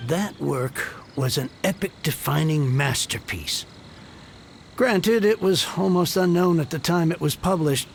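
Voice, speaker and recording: Grouchy older man, high-pitched and gravelly, melodramatic, theatrical game character, aggrieved book lover. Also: a little hiss or hum. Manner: theatrical, irritable ranting. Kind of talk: video game voice acting.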